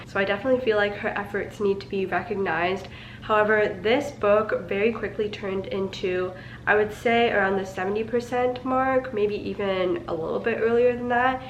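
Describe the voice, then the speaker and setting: Young woman vlogging about books, stuffy nasal voice, rambly and critical, mid pitch. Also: a little hiss or hum, poor audio. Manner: chatty, critical, heavy use of "like". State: stuffy, nasal-sounding voice from a cold. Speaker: book vlogger. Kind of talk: casual book review chat.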